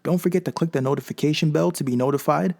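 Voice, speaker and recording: A man with a mellow voice hosting a tech channel, reading scripted tutorial narration. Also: a little high, quick pace, gravelly.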